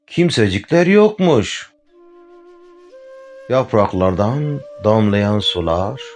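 A man narrating a fairy tale in a gentle, singsong manner, as if telling it to a child. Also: fairly low pitch. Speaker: storyteller. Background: faint flute music.

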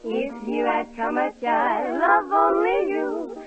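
A woman singing a slow close-harmony song with her sisters on a 1930s radio show. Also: a little high, loud music behind the voice. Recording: dull old 1930s radio broadcast with orchestra.

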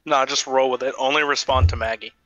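A man's voice: medium pitch, fairly even intonation, quick pace, fairly bright tone, no echo, highs cut off. A man chatting bluntly and offhand with friends during an online game. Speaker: gaming friend. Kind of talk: casual gaming chat.

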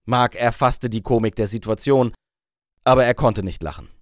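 A man's voice: mid-range voice, moderate pace, no echo, clean studio sound. A man reading scripted narration from a comic novel as an audiobook narrator.

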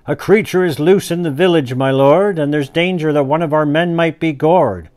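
A man's voice: on the high side, normal speed, darkish tone, natural voice. A man voicing an alarmed sergeant-at-arms in a rhyming storybook tale. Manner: urgent, breathless reporting.

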